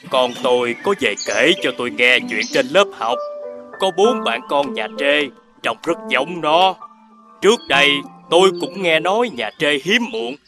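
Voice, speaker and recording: A man voicing a helpful, neighborly animal father in an animated fairy tale. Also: on the high side, a little slow, music in the background. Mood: helpful, concerned.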